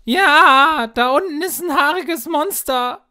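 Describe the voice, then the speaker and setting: A woman voicing a tiny, friendly female mouse with a cute squeaky manner in a cartoon. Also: slow pace, sharp tone, heavily acted. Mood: eager, fearful.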